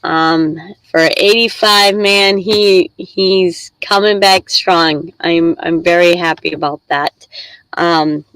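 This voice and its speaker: A woman's voice: lowish voice, steady tone, takes it slowly, fairly bright tone, a little hiss or hum, rough recording. A middle-aged woman from Michigan hosting a casual live stream, talking in a chatty, rambling way while congested with a head cold. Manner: chatty, rambling, reads chat aloud.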